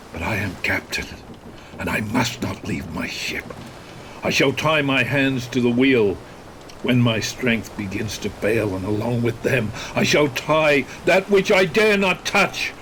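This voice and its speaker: Horror audio drama: a devout, haunted ship's captain, unhurried, dramatically varied intonation, over noisy ambience. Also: male voice, medium pitch. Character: weary, fearful, devout ship's captain writing his log. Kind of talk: dramatic radio-play log narration.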